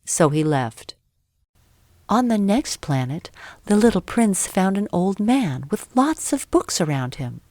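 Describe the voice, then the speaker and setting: A woman narrating a scripted bedtime story for children with expressive, careful phrasing. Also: mid-range voice, slow, dry recording.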